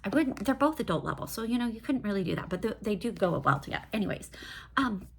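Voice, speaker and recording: A woman's voice: medium pitch, lively intonation, quick pace, slight background noise. A woman chatting casually about books in an enthusiastic, digressive way, like a host reviewing her reading. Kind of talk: casual book review chat.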